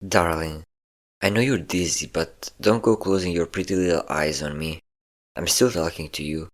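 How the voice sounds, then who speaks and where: Man playing a deep-voiced, teasing male vampire. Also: natural voice. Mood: smug, taunting. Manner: taunting, flirtatious, slow endearments. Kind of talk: intimate roleplay audio acting.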